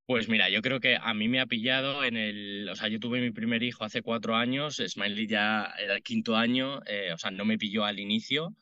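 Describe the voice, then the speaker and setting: Man, late thirties, Madrid accent, strikingly bright and forceful voice, dry sound, informal interview talk. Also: lowish voice, fairly even intonation, normal speed, few pauses, no room sound, lo-fi sound. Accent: Madrid Spanish. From Madrid, Spain. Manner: informal, colloquial. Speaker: podcast guest, entrepreneur. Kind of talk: conversational interview answers.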